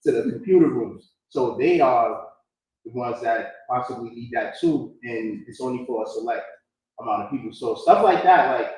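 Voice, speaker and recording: A man's voice: medium pitch, animated, normal speed, darkish tone. Male New Yorker, informal panel speaker, slangy and repetitive, recorded off-mic in a reverberant space. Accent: New York. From New York, United States. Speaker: panel speaker, former prisoner. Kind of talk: candid unscripted panel talk. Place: room at a live community event. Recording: echoey room, distant mic.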